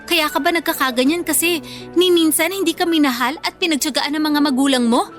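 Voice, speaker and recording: A high-pitched young Filipina student in a radio drama. She is wronged and vengeful, throwing out scornful taunts with emphatic, confrontational force. Faint music sits behind her. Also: brisk, fairly bright tone. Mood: scornful, righteous.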